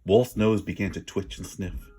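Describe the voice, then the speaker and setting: A man's voice: low voice, normal speed, dark tone, breathy edge, faint music behind. A man reading a children's story aloud with dramatic, emphatic word stress and an informal manner. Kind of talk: expressive read-aloud narration with casual asides.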